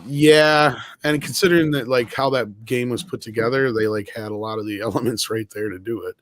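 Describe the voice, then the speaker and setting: A man's voice: mid-range voice, steady tone, fairly quick, fairly bright tone. A man co-hosting a live show in a casual, conversational streaming style.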